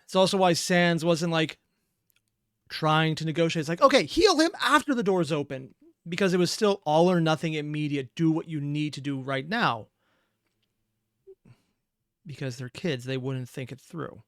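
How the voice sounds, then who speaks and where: A man's voice: on the high side, lively intonation, moderate pace, a bit bright, dry recording, clean studio sound. A man hosting a reaction video, giving informal, opinionated, analytical commentary off the cuff.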